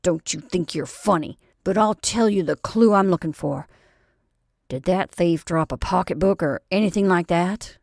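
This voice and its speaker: A thin, impatient fake Santa, played by a woman reader, who talks in crisp, clipped demands and sneers. The delivery is louder than the narration, in a dry, close recording. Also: fairly low pitch, normal speed, dry recording. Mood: sneering.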